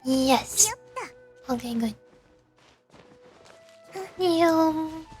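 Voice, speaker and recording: Woman game streamer, high voice, casual chat, faint music behind. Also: animated, very slow, darkish tone.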